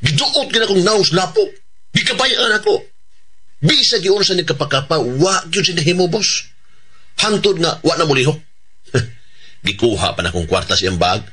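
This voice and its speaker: A man's voice: on the high side, very animated, moderate pace, pauses often, pushed and bright, slightly rough, heavily acted. A man with a Cebuano Filipino accent playing a cheerful hired killer in a radio drama, deferential to his bosses and chuckling between sentences. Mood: gloating, casual.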